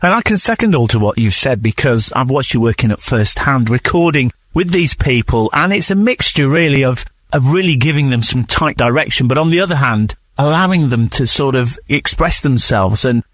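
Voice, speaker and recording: A man's voice: medium pitch, expressive, normal speed, a bit bright, no room sound. A man hosting a conversational radio interview in an affirming, reflective manner.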